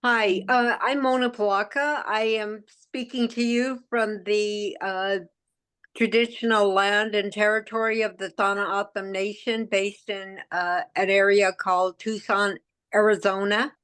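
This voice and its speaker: A woman from the United States introducing herself over a video call in a friendly, measured way. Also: medium pitch, steady tone, a bit bright, smooth. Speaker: webinar speaker. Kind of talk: self-introduction.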